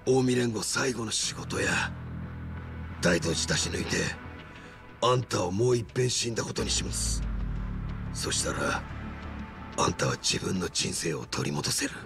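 A persuasive, swaggering yakuza schemer with a Kansai accent in a game drama. His low voice is full of gravel and moves slowly but expressively. Music runs underneath. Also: animated. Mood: urgent persuasion. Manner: slow, insinuating, swaggering persuasion. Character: scheming, persuasive male Osaka yakuza officer. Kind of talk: dramatic video game cutscene acting.

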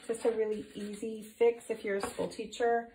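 A woman's voice: fairly high pitch, level tone, normal speed, warm tone, a little hiss or hum. A middle-aged woman explaining a painting project as she works, chatty and full of ums, her voice a little off from recent illness. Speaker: art teacher demonstrating painting. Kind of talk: casual painting tutorial. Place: home art studio room. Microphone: phone camera overhead, speaker off to the side. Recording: echoey room, voice off-mic.

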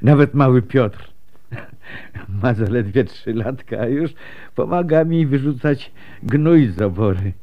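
Slow, animated, talkative milkman. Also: medium pitch, lo-fi sound. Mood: chatty, cheerful. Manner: folksy, chatty, chuckling. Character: talkative, pious, good-natured milkman with many children. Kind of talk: Polish radio drama acting.

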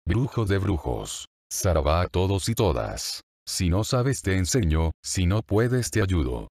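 Deep guy doing a solemn channel intro, pitch swings a lot, dry close mic. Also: sing-song, a little slow, vocal fry, darkish tone, no room sound. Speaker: channel host.

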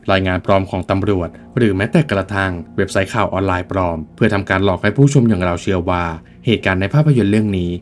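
Guy doing a scripted movie video essay, kinda low voice, talks fast. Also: a little mellow. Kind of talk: scripted film-commentary narration.

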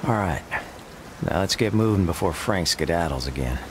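Deep-voiced, terse American gunslinger. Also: male voice, lively intonation, normal speed. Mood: businesslike. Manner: curt, dry, stubborn. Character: terse, determined male cowboy gunslinger. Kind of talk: video game cutscene acting. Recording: loud rain noise behind voice.

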